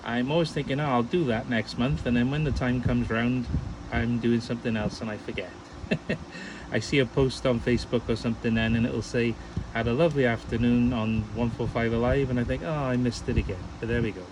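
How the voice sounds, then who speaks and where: A man's voice: medium pitch, steady tone, fairly quick, background noise. A man chatting casually and at rambling length as an amateur radio operator. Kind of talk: casual ham radio chat.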